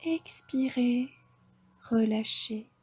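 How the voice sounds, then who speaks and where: A woman with a French accent guiding a yoga nidra relaxation, giving slow, soft, half-whispered breathing cues. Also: a little high, husky. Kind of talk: guided relaxation instruction.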